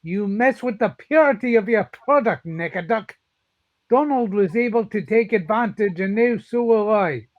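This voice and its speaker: A man's voice: sits high, lively intonation, moderate pace. A man voicing a stern, defiant old cartoon duck tycoon in a live comic dub, on dull lo-fi audio.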